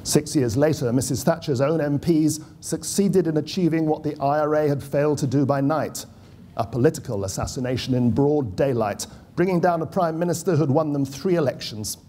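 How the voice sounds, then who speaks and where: A man's voice: on the high side, moderate pace, mellow. Dry-witted British man at a podium. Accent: British English. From United Kingdom. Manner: dry, wry asides. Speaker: conference speaker, former political correspondent. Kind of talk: prepared speech read from notes. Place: conference hall. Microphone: podium microphone.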